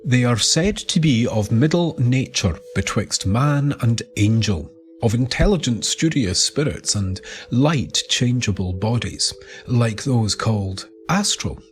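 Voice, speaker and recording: A man with a Scottish accent reading a scripted, atmospheric narration in a measured way with deliberate dramatic pauses. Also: medium pitch. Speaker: writer and narrator of the show. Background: music bed.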